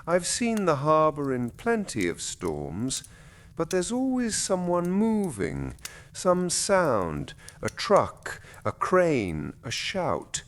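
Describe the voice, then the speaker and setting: A male narrator voicing a quiet, thoughtful townsman in a bedtime story, soft and reflective. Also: a little high, huge pitch swings, slow pace, voice pushed up, full character voice. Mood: wistful, reflective. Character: quiet, thoughtful, gentle man of a snowbound harbor town. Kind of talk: bedtime story character dialogue.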